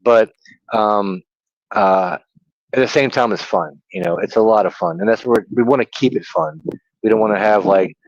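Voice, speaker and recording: A man's voice: lowish voice, moderate pace, dry recording. A man co-hosting a casual livestream chat, with a slight lisp and occasional stutter, rambling with many fillers. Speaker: co-host. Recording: dry, dull lo-fi audio.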